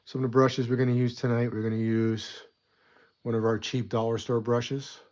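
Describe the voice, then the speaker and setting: A man's voice: medium pitch, flat delivery, normal speed, a little mellow, highs cut off. Chatty man with a stuffy cold. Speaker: model weathering host. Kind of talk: casual hobby tutorial. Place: hobby desk.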